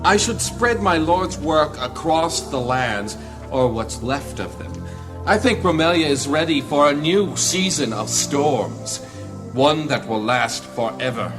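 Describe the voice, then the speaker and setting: A gloating villain in a fantasy audio drama, devoted to a dark lord. His delivery is highly animated and slightly high for a man, dripping with false courtesy. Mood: gloating menace. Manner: mock-polite, menacing, drawn-out delivery. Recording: music under the voice.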